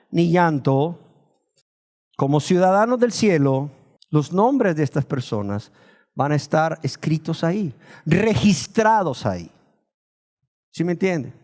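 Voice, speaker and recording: A man from Nicaragua, preaching a sermon, asking rhetorical questions and slowing down to stress key words. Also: high voice, a little mellow.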